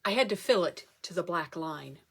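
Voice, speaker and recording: A woman performing a comic story as a speech, theatrical, with dramatic pauses and emphasis. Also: fairly low pitch, expressive, vocal fry, a bit bright, breathy edge. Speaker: club speaker telling a humorous tall tale.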